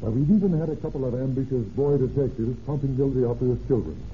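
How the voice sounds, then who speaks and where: An actor playing a brisk, plain-spoken county sheriff in an old radio crime drama, matter-of-fact and clipped. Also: male voice, mid-range voice, animated, natural voice. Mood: frustrated, resigned. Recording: tinny, noisy old radio recording.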